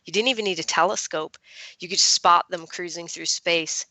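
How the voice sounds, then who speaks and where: A woman voicing an earnest, smitten teenage boy in a soft way while reading a young adult novel. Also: fairly low pitch, normal speed, no echo, rough recording. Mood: earnest, dreamy.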